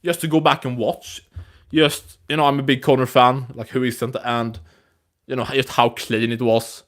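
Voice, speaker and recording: Young male streamer, 20s, mid-pitched, casual rambling chat with lots of restarts, recorded on a phone. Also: little pitch movement, moderate pace, a bit bright. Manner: rambling, many restarts and fillers. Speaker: livestream host.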